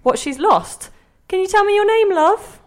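An actress playing anxious, shifting voices of onlookers and inner thoughts in an audio play, expressive and questioning. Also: female voice, very high voice, normal speed. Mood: nosy concern. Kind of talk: theatrical audio play acting.

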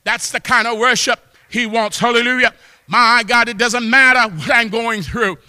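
A man preaching a fervent church sermon, exhorting with repeated exclamations of praise and often rising into shouts. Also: extremely high pitch, fairly even intonation, moderate pace, clean tone, studio quality. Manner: impassioned, often breaking into shouts. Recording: long hall reverb.

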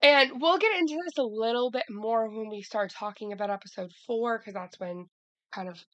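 A woman co-hosting a casual podcast chat, hoarse from a cold, talking in a chatty way with lots of restarts. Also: on the high side, normal speed, fairly bright tone, no echo, highs cut off. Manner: chatty, many fillers and restarts. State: hoarse from a cold, losing her voice. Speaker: podcast co-host.

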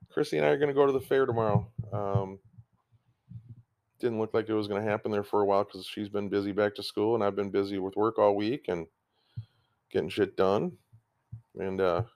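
A man's voice: lowish voice, gravelly. A middle-aged man hosting a casual podcast from home, rambling along with frequent ums and restarts. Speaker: podcast host.

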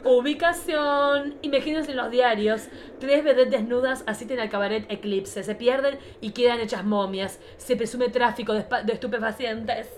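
A man's voice: very high-pitched, fairly even intonation, moderate pace, few pauses. A man playing a bossy, catty old-guard showgirl diva in a campy comic radio play. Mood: bickering, catty. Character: bossy, bickering oldest cabaret showgirl diva, a woman. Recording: clearly noisy background.

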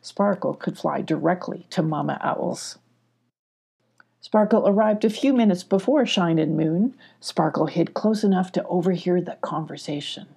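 Woman, children's story narrator, scripted reading, husky, creaky. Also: lowish voice, moderate pace, mellow.

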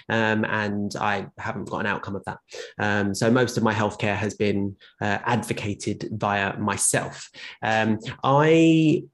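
Man telling his story, many fillers. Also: lowish voice. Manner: rambling, many fillers and restarts. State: short of breath at times. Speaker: guest with lived experience of long covid. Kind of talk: conversational personal account.

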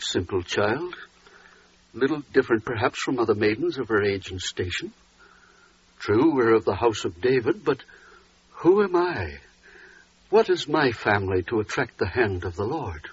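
A man's voice: mid-range voice, big pitch swings, measured pace, long pauses, husky, full character voice. An actor playing a worried, bewildered, indignant father in theatrical, questioning 1940s radio style. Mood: disbelieving. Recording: dull old 1940s radio recording.

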